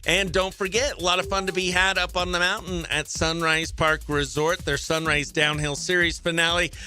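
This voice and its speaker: Bright, high-voiced upbeat male radio host. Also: little pitch movement, moderate pace, faint music behind. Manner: upbeat, effusive, chatty. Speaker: stand-up comedian. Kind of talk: casual morning radio chat with livestream viewers. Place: radio studio.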